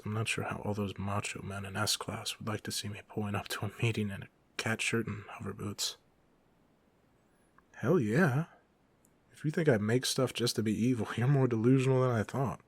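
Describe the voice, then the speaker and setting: Low, creaky playful young villain, acted by a man. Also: dry recording. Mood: wry, self-assured, then playful, amused. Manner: teasing, casual, chuckling banter. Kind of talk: ASMR roleplay, one side of a casual conversation. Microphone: close microphone.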